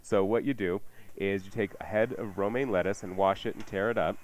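Fast-talking low-voiced man hosting radio cooking. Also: fairly even intonation, a little mellow, a little hiss or hum, low-quality recording. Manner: chatty, informal. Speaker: radio cooking show host. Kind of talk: conversational recipe presentation. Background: music.

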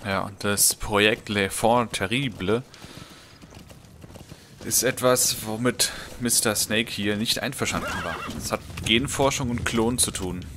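A man's voice: on the low side, a little slow, sharp tone, slight background noise. A man with a German accent giving casual commentary as the host of a let's play.

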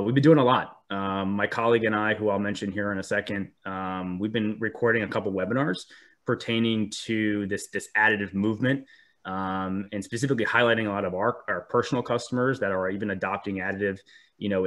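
A man's voice: fairly low pitch, fairly even intonation, fairly quick, fairly bright tone. Sniffly man hosting a webinar. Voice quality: filler-heavy, frequent ums and restarts. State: sniffly from a cold. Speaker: 3D printing specialist presenting a webinar. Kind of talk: webinar presentation. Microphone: video call.

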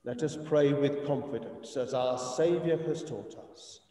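A middle-aged man with an English accent, a priest reciting communion liturgy in a solemn, measured manner. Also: mid-range voice, dark tone. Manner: solemn, measured, deliberate phrasing. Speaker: priest leading communion service. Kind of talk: liturgical recitation of a eucharistic prayer. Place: inside a church, streamed over video call. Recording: echoey church, distant mic, muffled video-call sound.

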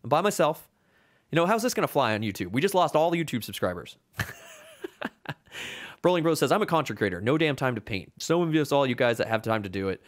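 A man in his thirties chats excitedly as co-host of a board game live stream. He laughs often and talks over a webcam from a home room, voice sitting mid-range for a man. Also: medium pitch, fast, pauses often. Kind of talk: casual live-stream chat. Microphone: webcam video call.

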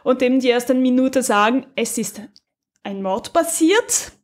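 A woman's voice: high-pitched, animated, measured pace. A woman co-hosting a podcast, talking casually about a radio drama with many fillers, restarts and laughs. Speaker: podcast co-host.

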